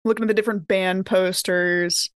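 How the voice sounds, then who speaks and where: A woman's voice: mid-range voice, steady tone, moderate pace, clean tone, no echo, clean studio sound. Giggly woman playing a tabletop game. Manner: giggly, chatty. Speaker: player in a tabletop roleplaying podcast. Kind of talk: casual improvised game talk.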